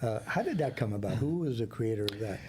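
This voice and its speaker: Mellow-voiced male interviewer. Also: fairly low pitch, lively intonation, fast. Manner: encouraging, leading questions. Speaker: interviewer, host. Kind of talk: conversational interview. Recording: faint background noise.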